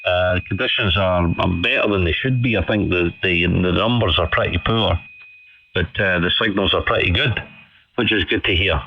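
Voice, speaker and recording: A man's voice: sits low, normal speed, slight background noise. A man chatting politely and casually as an amateur radio operator, often repeating phrases for emphasis, heard over the radio. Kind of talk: casual ham radio chat. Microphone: studio condenser microphone over radio. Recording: dull band-limited shortwave radio signal.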